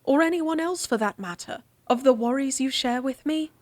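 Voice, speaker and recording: A woman playing a candid, devoted lady-in-waiting in a fantasy audio drama, speaking formally with a gently teasing, coaxing manner. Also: high-pitched, normal speed, no echo, lightly acted. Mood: earnest, pleading.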